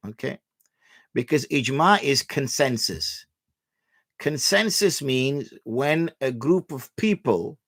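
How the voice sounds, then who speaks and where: A male religious scholar giving answers at a patient, measured pace, building his points with plain examples. His voice sits at an ordinary middle pitch. The sound is close and dry, with no room echo. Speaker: Islamic scholar answering viewer questions. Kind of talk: religious Q&A explanation.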